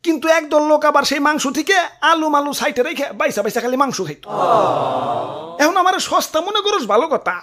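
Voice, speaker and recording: A woman's voice: high-pitched, lively intonation, quick pace, fairly bright tone. A woman playing a boastful, touchy potato king in a cartoon, scolding pompously with a Bangladeshi accent. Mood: indignant. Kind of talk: comic cartoon acting.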